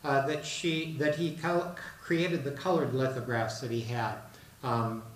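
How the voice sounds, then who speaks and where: An older man giving a measured public lecture from a podium, with frequent fillers and restarts. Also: medium pitch, steady tone. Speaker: guest lecturer. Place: large lecture room with a seated audience. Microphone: podium microphone, recorded by a far camera. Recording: roomy hall with faint noise.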